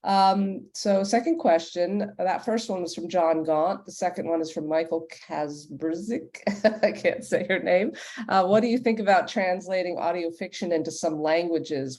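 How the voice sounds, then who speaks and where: A woman's voice: lowish voice, measured pace, a little mellow. A woman moderating a professional online panel and putting audience questions to the guests. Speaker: panel moderator and audio development executive.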